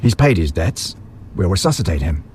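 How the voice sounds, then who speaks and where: Man playing a low-voiced, theatrical maniacal butcher villain. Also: big pitch swings, moderate pace, a little mellow, a little hiss or hum. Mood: dismissive. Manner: theatrical, condescending. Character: maniacal mad butcher, gleeful and condescending villain. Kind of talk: audio drama acting. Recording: music under the voice.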